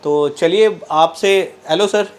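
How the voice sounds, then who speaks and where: A man's voice: sits high, slow. A man interviewing students in a repair training class, talking casually and repeating himself. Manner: chatty, repeats "so guys, as you can see".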